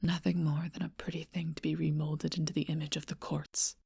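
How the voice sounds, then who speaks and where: An ancient, lonely vampire woman, whispered by a female performer breathes her words close to the mic in a slow, intimate hush. Her voice is deeply gravelly and breathy, pitched low and held flat, in a dry, close sound. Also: dry recording, full character voice. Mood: mournful whisper. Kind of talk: whispered ASMR role-play.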